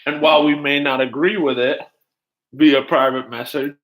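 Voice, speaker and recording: A man's voice: medium pitch, steady tone, normal speed, fairly bright tone, poor audio. Rambling man chatting on a livestream. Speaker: livestream host. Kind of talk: casual chat with viewers.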